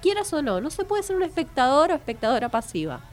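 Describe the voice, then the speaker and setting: A woman's voice: high-pitched, big pitch swings, fast. A woman with an Argentine accent co-hosting an educational radio talk, speaking in a thoughtful, reflective way. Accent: Argentine Spanish. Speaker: radio co-host.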